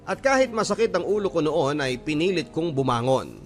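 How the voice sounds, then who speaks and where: A man's voice: on the high side, big pitch swings, fairly quick, faint music behind. A man playing a wounded letter sender, narrating a personal story in dramatic Filipino radio style. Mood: pained recounting.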